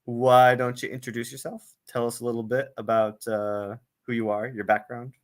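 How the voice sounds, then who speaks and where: A man's voice: mid-range voice, little pitch movement, moderate pace. A man hosting a casual video interview, who rambles, jokes and stumbles over his words. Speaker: interviewer host.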